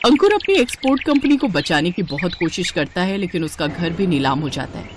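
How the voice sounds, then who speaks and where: A woman's voice: on the low side, big pitch swings, brisk, a little hiss or hum. A woman reading a scripted moral story as its narrator.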